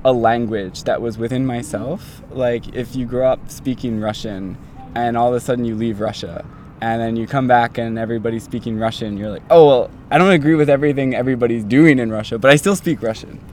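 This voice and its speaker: A man's voice: mid-range voice, a little fast, a little mellow, slight background noise. A young man in his twenties, a doctoral student, telling his story in a casual, rambling way full of fillers like "like" and "um". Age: 20s.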